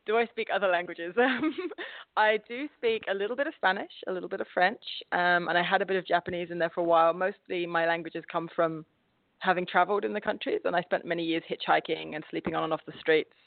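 Gravelly English woman on a tinny line. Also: on the low side, steady tone, fast talker, dry recording. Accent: English with an Australian twang. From England. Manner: chatty, laughs often, many restarts. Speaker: guest. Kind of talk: casual interview chat. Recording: phone-like line, dry.

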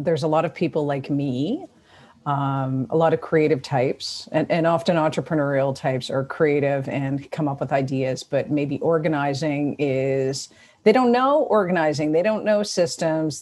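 Husky low-voiced Toronto woman host. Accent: Toronto Canadian. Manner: chatty, enthusiastic, warm toward guest. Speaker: podcast host. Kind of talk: casual interview chat.

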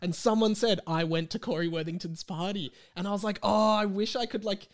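A man's voice: high-pitched, fairly even intonation, fairly quick. A man with an Australian accent hosting a casual chat with a friend, rambling and teasing as he goes. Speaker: podcast host.

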